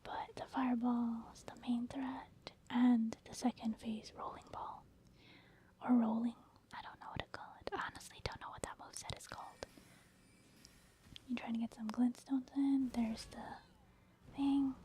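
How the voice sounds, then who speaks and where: A woman giving soft ASMR commentary over video game play, informal and hesitant as she thinks aloud. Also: on the high side, flat delivery, unhurried. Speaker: gaming ASMR video host.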